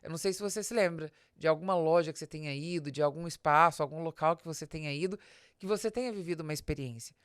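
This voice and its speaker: A Brazilian woman with a fairly low voice presents a business podcast. She explains in a didactic, direct way, stressing key words and putting questions to the listener. The sound is dry, with very little room in it. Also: quick pace, smooth, no echo. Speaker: podcast host and business advisor.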